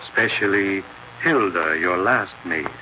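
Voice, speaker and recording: An actor playing an evasive, oily small-town mortician with an American accent, measured and unctuous, in a 1940s radio drama. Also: male voice, sits low. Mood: sly, insinuating. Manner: measured, unctuous, hesitant when pressed. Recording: dull, hissy 1940s radio recording.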